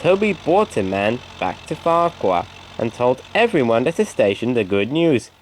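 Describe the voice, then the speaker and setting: A man's voice: medium pitch, big pitch swings, normal speed, rough recording. A man reading a scripted children's story as narrator.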